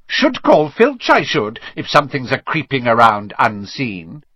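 A man's voice: medium pitch, wildly swooping pitch, a little slow, sharp tone, slightly rough, dry recording, highs cut off, full character voice. A man voicing a mischievous poltergeist in a storybook, sing-song and greasily fawning. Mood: suspicious, taunting. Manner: sing-song rhymes, obsequious wheedling.

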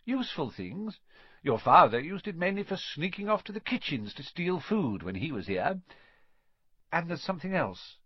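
A man acts out an elderly wizard headmaster for an audiobook. The voice is husky and lilting, genial and dreamy, with a quietly self-amused musing manner. The sound is a little roomy. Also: fairly high pitch, big pitch swings, moderate pace, muffled recording, voice pushed up. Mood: gentle, musing. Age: older. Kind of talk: audiobook character voice.

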